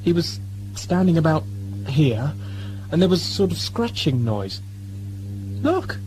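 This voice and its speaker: A man's voice: a little high, sing-song, measured pace, a little mellow, soft background music, rough recording. A man playing an earnest, uneasy companion in an audio drama, hesitant and defensive.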